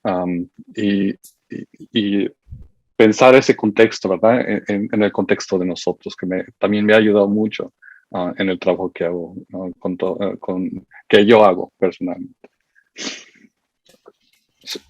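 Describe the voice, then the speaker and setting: Halting middle-aged man, dull dry sound. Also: low-pitched, steady tone, darkish tone, no echo. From United States. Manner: halting and hesitant, full of fillers, admits to nerves. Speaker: university education researcher presenting at a seminar. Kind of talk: seminar presentation.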